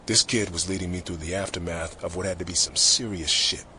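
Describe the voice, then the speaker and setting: A low-voiced, weary government fixer. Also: male voice, fairly even intonation, brisk, pushed and bright, slight background noise. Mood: grim, weary. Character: weary, grim male government fixer recounting a cover-up. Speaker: narrator and character in an audio drama. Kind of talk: hard-boiled dramatic narration.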